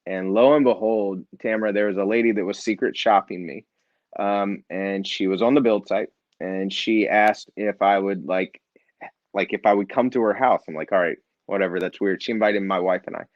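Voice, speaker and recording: A man with a low-pitched voice tells his story in an interview. He is earnest and animated and acts out the people he quotes. The sound is dry and slightly dull, with no room around it. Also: moderate pace, no echo. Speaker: nonprofit founder and CEO.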